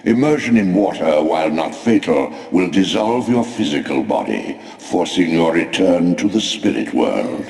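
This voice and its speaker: Abyssal-deep, echoing ancient spirit. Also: male voice, lively intonation, normal speed, vocal fry, hardly any pauses, darkish tone. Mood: stern, instructive. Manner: solemn, commanding, oracular. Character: ancient, ominous godlike spirit guiding an undead protégé. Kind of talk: theatrical video game voice acting. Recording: cavernous reverb, noisy game audio.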